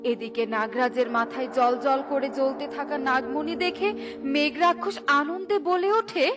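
A woman narrating a cartoon story in an even storybook reading. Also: high voice, measured pace. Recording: loud background music.

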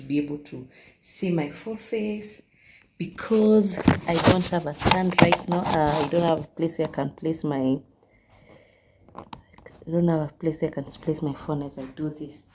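A young woman from Kenya hosting a casual home vlog, talking softly and keeping her voice low while her baby sleeps. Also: heavy background noise. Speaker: vlogger. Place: living room at home. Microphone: phone camera close up, later set down across the room. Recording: roomy home echo.

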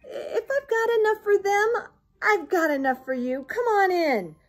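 A woman's voice: very high-pitched, expressive, measured pace, voice pushed up. A woman voicing an exasperated but hospitable young boy in a storybook, with dramatic dismay. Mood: resigned welcoming.

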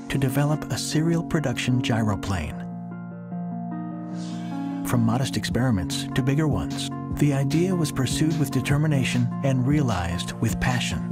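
Male corporate narrator, mid-pitched voice, slow deliberate phrasing, scripted ad read, loud music bed underneath. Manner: measured, deliberate delivery with slow pauses. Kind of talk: scripted promotional voice-over.

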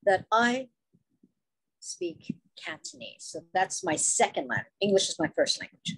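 A woman giving a chatty, impromptu humorous speech at a club meeting, storytelling with quick asides. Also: medium pitch, breathy edge, no echo, poor audio. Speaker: table topics speaker.

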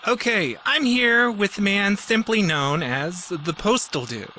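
An actor playing a polite, awkward radio interviewer in a video game, with a hesitant manner. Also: male voice, high voice, measured pace, sharp tone, a little hiss or hum, poor audio. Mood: politely awkward.

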